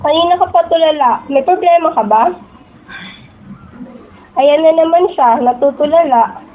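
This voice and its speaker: A woman's voice: high voice, normal speed, natural voice. A young woman acting as a boy-crazy, envious teenage schoolgirl in a radio drama. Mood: chatty. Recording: tinny phone-like audio.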